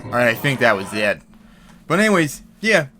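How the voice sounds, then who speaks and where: A man's voice: medium pitch, big pitch swings, normal speed, pushed and bright, slight background noise. A man giving heated, profane commentary on an article and news clips, reading passages aloud and reacting. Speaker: commentary vlogger.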